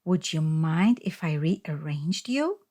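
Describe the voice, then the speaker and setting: A woman's voice: low-pitched, expressive, takes it slowly, exaggerated voice. A woman voicing a timid, polite hermit crab in a children's storybook, earnest and courteous. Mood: admiring, polite. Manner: gentle, earnest, courteous questioning.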